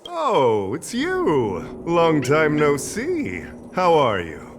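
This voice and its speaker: Casting: theatrical, self-important male game professor, slow, highly varied intonation. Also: huge pitch swings, background noise, full character voice. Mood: evasive. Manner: pompous, grandiloquent, hesitating when cornered. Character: vain, theatrical male professor adored by fans. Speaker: voice actor in a video game. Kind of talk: theatrical game character dialogue. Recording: game dialogue with music behind.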